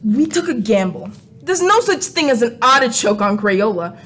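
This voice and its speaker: A woman's voice: on the high side, very animated, moderate pace, a bit bright. A woman playing a snide, mocking alien renegade who scolds humans in a comedic radio play. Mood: smug. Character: sassy, mocking alien crayon renegade visiting Earth.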